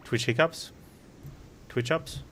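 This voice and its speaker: A man's voice: medium pitch, takes it slowly, noisy background. A man giving casual live stream commentary on a game in a sarcastic, joking way. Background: game music.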